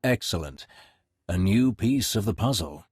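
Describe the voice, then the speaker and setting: A dry witted sith lord in a game, formal, low husky voice, very animated pitch. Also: male voice, dry recording. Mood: composed. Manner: formal, wry, measured. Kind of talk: video game voice acting.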